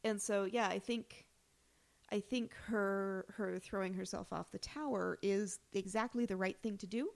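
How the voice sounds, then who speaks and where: A woman's voice: medium pitch, unhurried, creaky. A woman co-hosting a podcast, casually discussing a television show in conversation. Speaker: podcast co-host.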